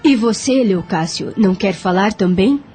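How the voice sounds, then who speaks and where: An actress playing a serene spirit guide in an audio drama, teaching in a solemn, didactic manner. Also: female voice, medium pitch, expressive, normal speed, a little mellow, faint music behind, natural voice. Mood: solemn, counselling.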